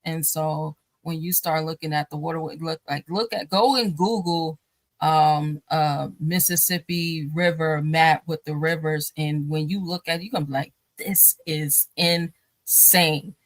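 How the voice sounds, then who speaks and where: A woman with a lowish voice talks in a loose, rambling way, circling back and restarting her sentences with plenty of fillers. She laughs easily as she goes. The sound is dry and close, with no room around it. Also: fairly even intonation, unhurried, no room sound. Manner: laughs often while talking. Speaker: guest. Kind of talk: casual talk show conversation.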